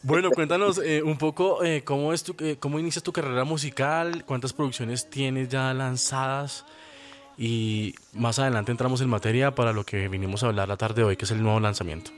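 A man's voice: medium pitch, steady tone, a little fast. A man hosting an interview, asking questions and responding with friendly affirmations. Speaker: radio show host.

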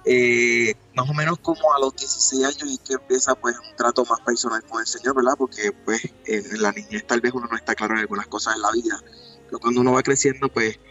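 A man in his twenties with a Puerto Rican accent, a gospel singer talking earnestly about his faith in a remote interview, with pauses and fillers. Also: medium pitch, fairly even intonation, sharp tone, highs cut off, faint music behind. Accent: Puerto Rican Spanish. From Puerto Rico. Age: 20s.

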